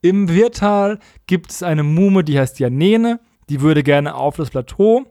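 A man's voice: a little high, measured pace, warm tone, dry recording, clean studio sound. A man recapping stories as a podcast co-host in a colloquial, humorous, mockingly opinionated way. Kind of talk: casual humorous episode recap.

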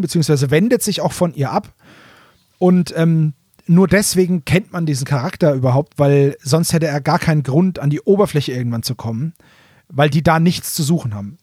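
Male podcast co-host, fairly high voice, dry sound, loose colloquial explainer who corrects himself. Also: warm tone, no room sound. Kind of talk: casual chat retelling a story.

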